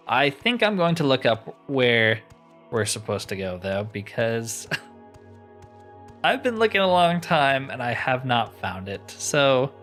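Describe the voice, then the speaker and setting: A man's voice: medium pitch, fairly bright tone. Casual man narrating his gameplay. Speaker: gaming video host. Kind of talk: casual game playthrough commentary.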